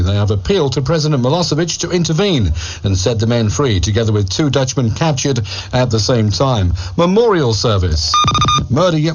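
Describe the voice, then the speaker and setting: A man's voice: a little high, dull audio, a little hiss or hum. Punchy male radio newsreader. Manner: emphatic, clipped headlines. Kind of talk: punchy tabloid radio news reading.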